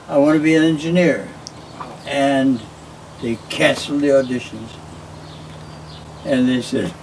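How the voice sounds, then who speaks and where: Older man, unhurried storyteller quoting old conversations, recorded at a distance over clear noise. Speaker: former children's TV host. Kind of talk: casual storytelling. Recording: distant mic, loud vehicle-like background rumble.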